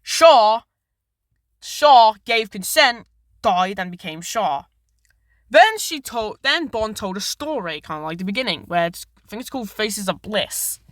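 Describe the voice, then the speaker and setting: A woman's voice: mid-range voice, big pitch swings, measured pace, no room sound, studio quality. A woman giving casual, unscripted commentary as a video host, rambling through a retelling with enthusiasm and frequently correcting herself. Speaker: video host reviewing a horror series.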